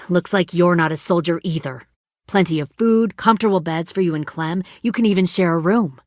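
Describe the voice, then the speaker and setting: A woman's voice: on the low side, moderate pace, a little mellow, no room sound, clean studio sound, lightly acted. An actress voicing a ruthless, hardened woman who leads a survivor militia in a video game drama, speaking in a controlled, menacing and persuasive way. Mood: calm, coaxing.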